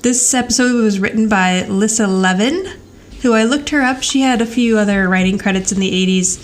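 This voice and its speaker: A woman's voice: medium pitch, a little fast. An American woman co-hosting a podcast in a casual, wry, chatty way as she recaps. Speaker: podcast co-host. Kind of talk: casual chat, episode recap. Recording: faint background noise.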